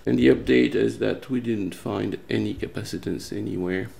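A man's voice: lowish voice, moderate pace, gravelly, mellow, slight background noise. A man chatting and narrating casually as the host of an electronics workshop video. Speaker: host of electronics restoration channel.

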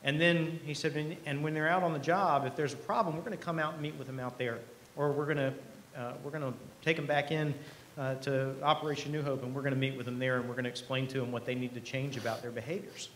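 A middle-aged man giving a conversational keynote speech at a podium microphone, earnest and emphatic, with deliberate pauses. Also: mid-range voice, fairly even intonation, fairly bright tone, poor audio. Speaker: company president, keynote speaker. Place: event hall stage. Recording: live podium speech with clear background noise.

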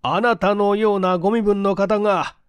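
A man's voice: high voice, steady tone, moderate pace, clear, resonant tone, no room sound, pitched above the performer's own voice, natural voice. A man voicing a composed police chief in an audiobook, with a formal, businesslike manner. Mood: doubtful, courteous.

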